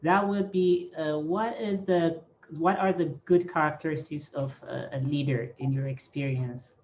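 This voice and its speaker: A man's voice: fairly high pitch, fairly even intonation, normal speed, darkish tone. A man in his thirties moderating a formal online interview as host, speaking into a headset on a video call. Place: small office, on a video call. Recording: dull, noisy video-call audio.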